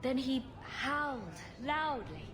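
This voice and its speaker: An actress playing a confident, sarcastic adventurer heroine in a fantasy video game, dry and joking. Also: female voice, sits high, animated, takes it slowly, a crowd in the background. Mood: mock-serious. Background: music.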